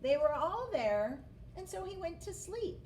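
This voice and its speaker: A woman reading a picture book aloud to children as an expressive storyteller who engages her listeners. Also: extremely high pitch. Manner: expressive, pauses to ask listeners questions. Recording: distant mic, faint background noise.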